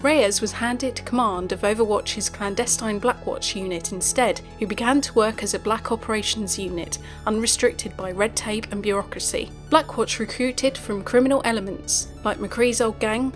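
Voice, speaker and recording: Brief: female lore narrator, conversational and playful, soft music underneath. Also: mid-range voice, normal speed, hardly any pauses. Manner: chatty, speculative, light jokes. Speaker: video narrator.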